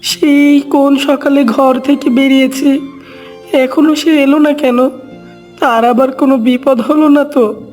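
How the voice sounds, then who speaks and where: A woman's voice: high voice, fairly even intonation, unhurried, darkish tone, faint music behind. A woman voicing an anxious, caring mother duck in a cartoon story, with a fretful, motherly manner. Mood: worried.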